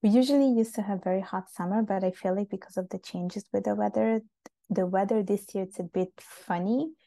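A woman's voice: mid-range voice, steady tone, fairly quick, creaky, dark tone, dry recording. A young adult woman from Italy, chatting as a podcast guest with many fillers. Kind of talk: casual interview conversation. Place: home in Montreal.

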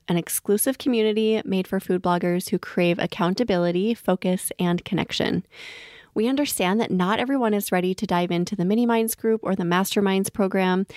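A woman's voice: medium pitch, darkish tone, dry recording, studio quality. A woman working as a podcast host, moving between scripted reads and casual interview talk. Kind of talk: scripted promo and intro reading, casual interviewing.

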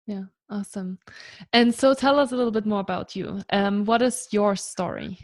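A woman's voice: mid-range voice, moderate pace, no room sound, clean studio sound. A woman hosting a podcast, welcoming her guest in an upbeat, encouraging way and affirming him often. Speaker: podcast host.

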